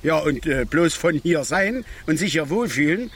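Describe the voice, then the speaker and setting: A man's voice: on the high side, animated, normal speed, a bit bright, slightly rough, exaggerated voice. An actor playing a grumbling, opinionated middle-aged angler and father in a radio drama, lecturing and blustering with questioning tags. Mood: irritated. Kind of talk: naturalistic radio drama dialogue. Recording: faint outdoor background noise.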